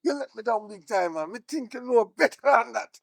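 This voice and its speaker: A domineering Jamaican gang boss played by a man in a screen drama. His very high voice crackles with fry as he boasts and commands, recorded dry and close. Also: lively intonation, fairly quick, no echo, exaggerated voice. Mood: angry, scolding.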